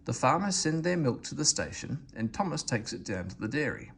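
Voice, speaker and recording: A man reading a children's storybook aloud in an expressive narrating style. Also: mid-range voice, a little fast, creaky. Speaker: storybook reader. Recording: faint background noise.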